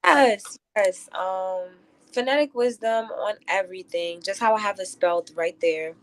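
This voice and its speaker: A woman's voice: medium pitch, unhurried, rough recording. A woman guest who is a spoken word poet, moving between casual talk and rhythmic, declamatory verse. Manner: declamatory, rhyming delivery when reciting.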